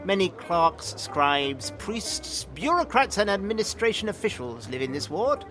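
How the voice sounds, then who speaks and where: Man playing a fussy, high-pitched, nervous bookish clerk. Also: very animated, unhurried. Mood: hesitant, polite. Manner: stammering, fussy, formal and apologetic. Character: nervous, bookish male clerk and records researcher. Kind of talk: acted audio drama dialogue. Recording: music under the voice.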